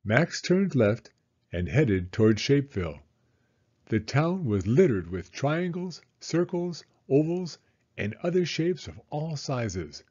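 Guy reading a kids picture book, slow and lively, bit husky, slightly muffled recording. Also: medium pitch. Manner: expressive, deliberate phrasing for children. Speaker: children's story reader. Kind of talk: scripted storybook read-aloud narration.

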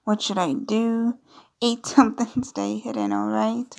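A woman's voice: fairly high pitch, little pitch movement, unhurried, vocal fry, background noise. A woman doing casual, playful gameplay commentary, reading on-screen dialogue aloud with chatty, amused asides. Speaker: gaming video creator.

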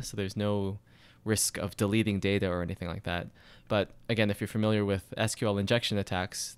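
A man explaining code step by step in a screencast tutorial, steady and methodical. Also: fairly low pitch, clean tone, dry recording. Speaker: programming tutorial instructor. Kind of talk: screencast coding walkthrough.

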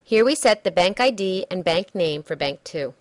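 A woman reading a scripted software demo narration in a measured, instructional way. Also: on the low side, animated, dry recording, studio quality.

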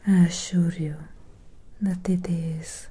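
A young woman voicing a soothing, sly female Victorian vampire doctor in a hushed, intimate ASMR roleplay, close to the microphone. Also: fairly low pitch, level tone, takes it slowly, breathy edge, full character voice. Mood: persuasive, reassuring. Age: young adult. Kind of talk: soft-spoken ASMR roleplay.

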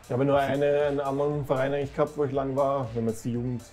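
A man in his thirties with an Austrian accent, a professional footballer, answering calmly and modestly. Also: medium pitch, very fast, soft background music. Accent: Austrian German. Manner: calm, modest, plain-spoken. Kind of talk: relaxed interview answers. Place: football club café with shelves, indoors.

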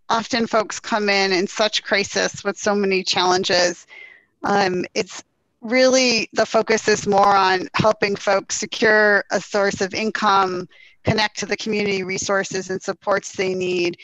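A woman's voice: mid-range voice, fairly bright tone, no echo, poor audio. A woman in her forties, a city official, formally explaining and answering questions over a headset on a video call. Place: indoor room at home or office.

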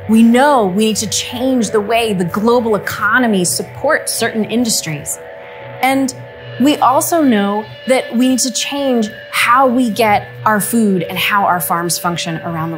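A woman in her thirties, a climate advisor, speaking earnestly to camera in a plain studio, laying out points one by one. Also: mid-range voice. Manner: earnest, list-like, upbeat. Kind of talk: to-camera interview.

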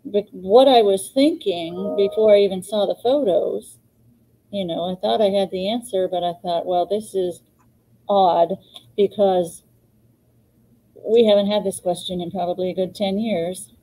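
A woman's voice: mid-range voice, fairly even intonation, unhurried, dark tone, a little hiss or hum, poor audio. A middle-aged woman co-hosting a live plant clinic on a video call, chatty, laughing often and saying um a lot. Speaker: co-host. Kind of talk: live online plant advice show. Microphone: webcam on a video call.

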